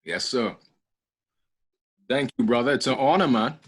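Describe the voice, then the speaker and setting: A man's voice: medium pitch, expressive, a little slow, husky. A man from the Bahamas with a Bahamian accent, chatting casually as a guest and laughing often. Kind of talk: casual video call chat.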